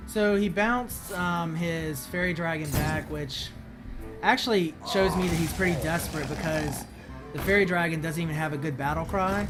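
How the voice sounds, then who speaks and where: A hoarse man with a voice that is not deep, commenting on his card game as he plays and thinking aloud with many ums and restarts. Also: moderate pace, over music. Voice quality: voice not very deep, sounds high-pitched. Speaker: streamer playing a card game. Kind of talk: casual gameplay commentary.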